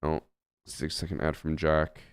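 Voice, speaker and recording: A man's voice: a bass voice, flat delivery, a little slow, clear, resonant tone, no echo. A young man streaming a shooter game, chatting casually with his chat and thinking aloud with fillers, a little stuffy from a head cold.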